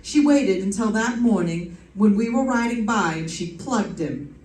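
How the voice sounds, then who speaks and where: A woman's voice: medium pitch, lively intonation, measured pace, darkish tone, natural voice. A woman playing a wry, hard-boiled private detective in a live old-time radio mystery, telling it in slangy style. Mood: confident, explanatory. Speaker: radio drama performer. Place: live stage performance in a reverberant room. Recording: echoey room, picked up from a distance.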